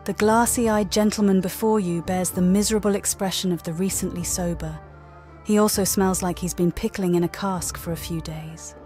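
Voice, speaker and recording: A woman narrating a story game playthrough in a calm, even storybook manner, also voicing the player character. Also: lowish voice, moderate pace. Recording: game music under the voice.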